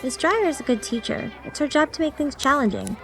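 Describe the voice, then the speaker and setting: A woman puts on an earnest teenage schoolgirl for an audiobook. She is guarded and acts casual, in a raised voice. Music plays clearly underneath. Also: high voice, lively intonation, a little fast, pitched above the performer's own voice. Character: guarded, studious teenage girl, story heroine. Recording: music behind the voice.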